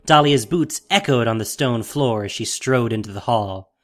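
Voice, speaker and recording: A man's voice: mid-range voice, normal speed. A man narrating a fantasy audio drama in a dramatic, scripted storytelling style.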